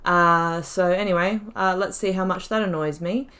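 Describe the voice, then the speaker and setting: A woman's voice: fairly low pitch, fairly even intonation, normal speed, fairly bright tone. A woman giving casual gameplay commentary as the host, chatty and giggly, thinking aloud as she goes. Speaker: gaming YouTuber, host of a playthrough.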